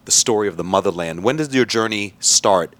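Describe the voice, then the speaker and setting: A man's voice: fairly low pitch, lively intonation, fairly quick, a bit bright. A man hosting a casual radio interview, playful and jokey as he asks his questions.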